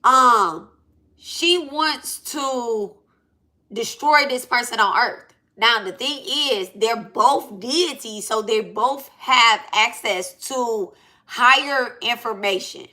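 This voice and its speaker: A woman in her late thirties speaks in a bright, slightly high voice. She talks unscripted to her live audience about spiritual matters, blunt and animated. She peppers it with slang and checks in with "right?" and "okay?". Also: slow. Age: 30s. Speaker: spiritual reader and channel host.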